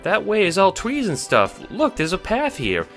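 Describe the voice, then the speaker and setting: High-pitched nervous little cat sprite. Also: male voice, wildly swooping pitch, normal speed, a bit bright, soft background music, full character voice. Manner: nervous, hesitant, stammering. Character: timid, anxious, helpful male cat sprite. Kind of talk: audio drama character voice.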